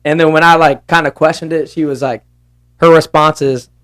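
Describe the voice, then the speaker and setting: Candid young man, slightly high voice. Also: steady tone, normal speed, fairly bright tone. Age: 20s. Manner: candid, laughs often, quotes others in lowered voice. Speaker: podcast guest. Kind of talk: casual chat.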